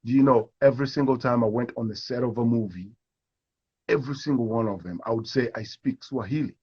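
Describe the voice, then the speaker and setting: Animated husky man telling stories. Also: medium pitch, a little fast, highs cut off. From Kenya. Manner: animated storyteller who acts out quoted voices. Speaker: actor, interview guest. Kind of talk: storytelling in a live interview.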